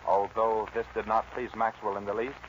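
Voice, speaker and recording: A man's voice: fairly low pitch, flat delivery, moderate pace. A man with an American accent narrating a western in solemn, theatrical 1940s radio style. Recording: muffled old radio recording, faint noise.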